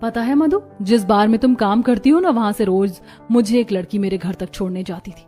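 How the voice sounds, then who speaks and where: A woman's voice: medium pitch, fairly quick, dark tone, faint music behind, natural voice. A woman playing a spoiled, breezy young rich college girl in a cartoon story. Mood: chatty.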